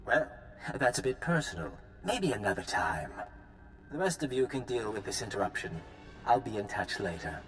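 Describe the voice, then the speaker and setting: An actor playing a smug, menacingly polite villain in a video game, silky and theatrical. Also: male voice, lowish voice, sing-song, moderate pace, fairly bright tone, soft background music. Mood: coolly menacing. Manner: theatrical, mock-courteous, silky.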